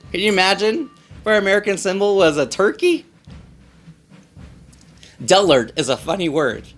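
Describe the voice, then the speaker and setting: Animated man reading aloud to children. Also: sits high. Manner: playful, theatrical emphasis, asks listeners questions.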